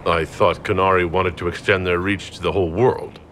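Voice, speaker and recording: A man voicing the calm, measured leader of a fantasy order in a video game. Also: deep voice, a little hiss or hum. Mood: calm, probing. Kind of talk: video game dialogue acting.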